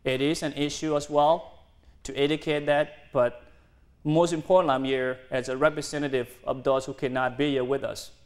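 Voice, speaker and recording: A man in his twenties from South Sudan, a native Dinka speaker, giving an earnest university talk in halting, non-native English with restarts. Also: medium pitch, little pitch movement. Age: 20s. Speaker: guest speaker. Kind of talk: university lecture.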